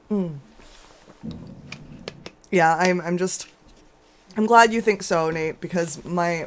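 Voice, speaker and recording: Hoarse woman streamer, rambling and chatty. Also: slight background noise. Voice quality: lower and scratchier than usual. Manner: rambling, chatty, with frequent restarts and laughs. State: hoarse from a sore throat. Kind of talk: casual chat while gaming.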